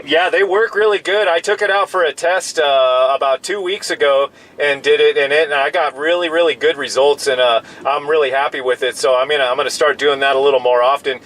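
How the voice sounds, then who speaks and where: An American man with a voice a little higher than average talks as a CB operator. His delivery is casual and friendly, peppered with radio jargon. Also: fairly even intonation, a little fast, hardly any pauses, fairly bright tone, low-quality recording. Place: in a parked vehicle outdoors. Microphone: CB radio microphone.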